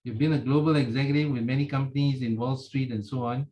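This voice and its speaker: A man's voice: mid-range voice, steady tone, fast, mellow. A man hosting a podcast interview, introducing his guest effusively and interjecting with admiration. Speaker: podcast host. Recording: slightly dull, lo-fi sound.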